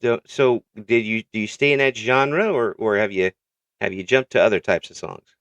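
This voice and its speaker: Middle-aged guy hosting, low voice, dry close sound, jokes around and laughs a lot. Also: moderate pace, a bit bright, no room sound, muffled recording. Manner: joking, self-mocking, laughs often. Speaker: interview host. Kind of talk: casual interview chat.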